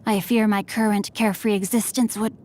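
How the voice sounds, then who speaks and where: A woman voicing a refined, courteous young male swordsman and scholar in a game, speaking politely and formally with a gently teasing edge. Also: mid-range voice, little pitch movement, a little fast, lightly acted. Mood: polite, composed. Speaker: game character voice lines. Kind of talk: scripted game voice lines.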